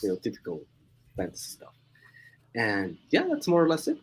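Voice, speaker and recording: Swiss-Mexican-accented young guy, guest on a stream, lively up-and-down pitch, laughs a lot. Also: normal speed, slight background noise, low-quality recording. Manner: chatty, laughs often, restarts words. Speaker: guest comic creator. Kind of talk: casual chat on a live stream.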